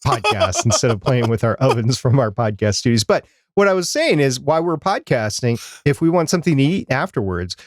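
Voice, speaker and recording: A man's voice: medium pitch, sing-song, fairly quick, hardly any pauses, no room sound, clean studio sound. A man co-hosting a podcast in casual banter, talking in a chatty, rambling way. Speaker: podcast co-host.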